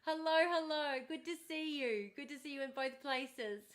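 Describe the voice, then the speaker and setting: A woman's voice: sits high, moderate pace. A woman hosting a casual livestream, chatty and bubbly, her voice starting to go after hours of talking. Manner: bubbly, chatty, thanks viewers warmly and often. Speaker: livestream host. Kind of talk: casual livestream chat with viewers.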